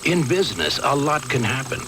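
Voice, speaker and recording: A man's voice: mid-range voice, very animated, fairly quick, noisy background. A man narrating a scripted commercial as its announcer. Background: music.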